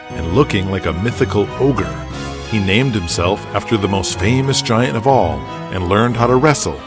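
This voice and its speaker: A man reading scripted, dramatic narration for an audio drama. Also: on the low side.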